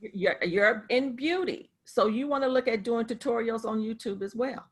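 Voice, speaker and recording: Woman, high pitch, emphatic repetition, interactive online lesson. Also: moderate pace, slightly rough. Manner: emphatic, repeats phrases for emphasis. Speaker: marketing professor. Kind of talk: interactive online class teaching. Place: video call.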